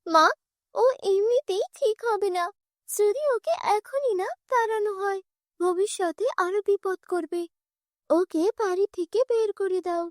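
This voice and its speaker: A woman's voice: very high-pitched, measured pace, dry recording, clean studio sound, voice pushed up. A woman voicing a spoiled, spiteful young stepsister in a cartoon, bossy and whining.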